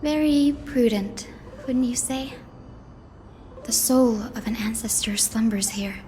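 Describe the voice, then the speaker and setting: A woman playing a gentle, resolute young descendant of a sky people in a video game, speaking politely and earnestly. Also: a little high, takes it slowly, a little hiss or hum. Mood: calm, reverent. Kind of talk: dramatic video game acting.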